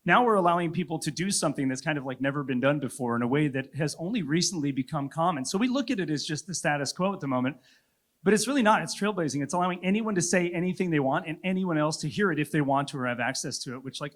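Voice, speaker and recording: A man's voice: fairly high pitch, fast talker. A man moderating a conference panel, talking in a reflective, loosely rambling way with filler words.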